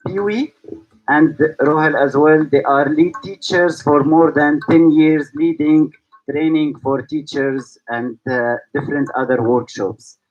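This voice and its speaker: A man's voice: a little high, fairly even intonation, unhurried, dull audio, faint music behind. A man from Syria, founder and host of a yoga school, speaking to online viewers and asking repeated rhetorical questions. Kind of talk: reflective talk to online viewers.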